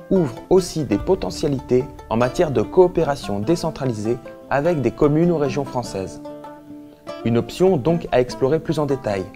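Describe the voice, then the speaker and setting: A man reading a formal, measured documentary voice-over. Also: medium pitch. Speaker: narrator. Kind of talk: scripted narration.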